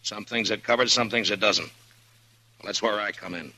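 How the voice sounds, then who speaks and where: An actor playing a calm, tough frontier marshal in a 1950s radio drama, dry and clipped. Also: male voice, fairly low pitch, moderate pace, no room sound, rough recording. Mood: steady. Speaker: town marshal. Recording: old radio broadcast.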